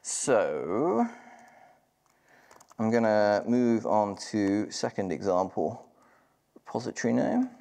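A man's voice: on the low side, very animated, slow pace, gravelly, long pauses, warm tone. A man giving a live technical walkthrough at a conference, casual, with many ums and restarts. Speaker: conference speaker. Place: large conference hall. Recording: long hall reverb.

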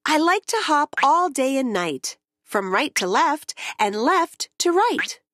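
A woman's voice: sits high, sing-song, takes it slowly, fairly bright tone, dry recording, studio quality, full character voice. A woman voicing a tiny eager hopping creature in a storybook, with a bouncy, rhythmic delivery. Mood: playful.

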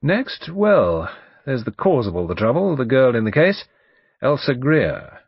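A man's voice: mid-range voice, sing-song, unhurried, creaky, husky, dry recording, dull audio, pitched above the performer's own voice, exaggerated voice. A man voicing a bluff, urbane and overbearing English barrister in an audiobook, breezy, emphatic and chatty. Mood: breezy, animated. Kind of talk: audiobook character dialogue.

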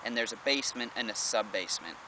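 A man's voice: fairly low pitch, animated, fast, bright, forceful tone, a little hiss or hum, rough recording. A young man co-hosting a travel vlog outdoors on a lawn, casually adding facts and figures, picked up by a camera a few meters away. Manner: informative, adds facts and figures. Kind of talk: casual on-location chat. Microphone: camera mic from a few meters away.

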